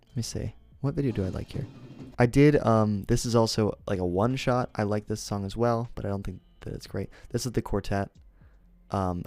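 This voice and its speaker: A man's voice: fairly low pitch, gravelly, a little mellow, dry recording. A young man streaming, chatting casually and rambling, often correcting himself and laughing.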